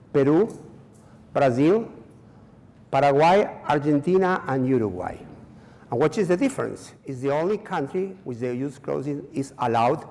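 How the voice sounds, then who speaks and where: A man's voice: mid-range voice, a little mellow. Man speaking hesitant in a hall. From Chile. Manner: halting non-native English with many fillers and repetitions. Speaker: conference speaker. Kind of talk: conference presentation. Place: conference hall. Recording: long reverb of a hall.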